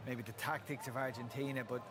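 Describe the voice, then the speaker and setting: A man working as a football pundit, giving measured match analysis. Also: mid-range voice, steady tone. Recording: clear outdoor stadium noise behind.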